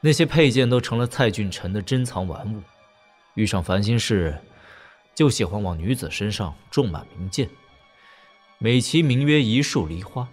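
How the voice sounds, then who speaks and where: Low-voiced, wry male storyteller. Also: darkish tone. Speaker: narrator. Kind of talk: scripted storytelling with wry asides.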